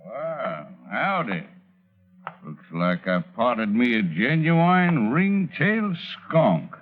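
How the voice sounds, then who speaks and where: A tinny, phone-like vintage radio recording of a cowboy gunman. His folksy American Western speech drawls slowly and swings widely up and down. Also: mid-range voice, sing-song, soft background music. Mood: wry, satisfied. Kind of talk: 1940s radio drama acting.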